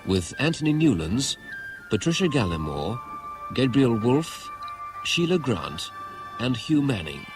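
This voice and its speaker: A man's voice: mid-range voice, big pitch swings, unhurried. A man announcing the title and credits of a 1970s radio drama in a scripted style. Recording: vintage radio recording under loud music. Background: music.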